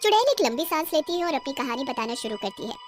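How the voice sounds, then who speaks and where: A woman with a high voice narrates a scripted horror cartoon tale. Soft music plays underneath her storytelling. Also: very animated, fast talker.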